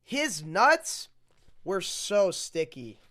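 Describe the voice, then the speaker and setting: Storytime host, unhurried and highly animated, exaggerated picture-book delivery with a deadpan streak. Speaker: host reading storybooks aloud. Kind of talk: mock storytime reading with deadpan asides.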